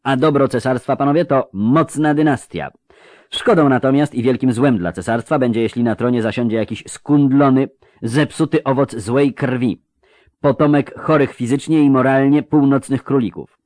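A man's voice: medium pitch, expressive, unhurried, a little mellow, no echo, voice pushed up. Grating nobleman acted by a man, haughty, indignant, storybook villainy, close dry sound. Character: gruff dynastic zealot, a proud male nobleman.

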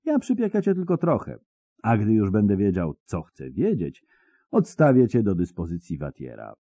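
Cold, taunting interrogator voiced by a male narrator, low and dark, with sneering swings in his pitch. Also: wildly swooping pitch, normal speed, no echo, heavily acted. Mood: menacing taunt. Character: cruel, mocking middle-aged male interrogator. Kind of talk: audiobook character reading.

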